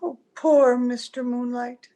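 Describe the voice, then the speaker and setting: An actress playing a gentle, wistful, mysterious wife in a radio play, speaking with tender care. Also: female voice, fairly high pitch, fairly even intonation, takes it slowly. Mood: yearning. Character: gentle, wistful ageless wife, mysterious visitor, secretly old. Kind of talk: old-time radio drama acting. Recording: home video call with music.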